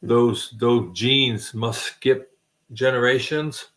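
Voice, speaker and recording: A man's voice: medium pitch, steady tone, slow, fairly bright tone. A middle-aged man hosting a casual late-night chat, rambling with many restarts and self-deprecating jokes. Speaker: host.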